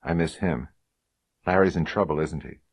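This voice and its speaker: A man plays a small, trusting wife in a hardboiled detective story. The voice is earnest and anxious, lifted a little but still low, in a dry recording. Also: normal speed, no echo. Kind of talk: hardboiled detective audiobook dialogue.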